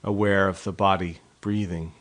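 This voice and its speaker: A man leading a guided body scan meditation as an instructor, speaking calmly and gently in measured phrases with pauses. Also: deep voice.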